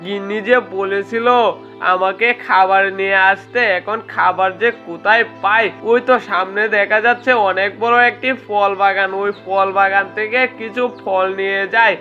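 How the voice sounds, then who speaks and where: Woman voicing gentle ghost husband, bright and muffled. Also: medium pitch, fairly even intonation, normal speed, faint music behind, natural voice. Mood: polite, hesitant. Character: gentle, honest male ghost husband, resigned and polite. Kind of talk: amateur storybook cartoon voicing.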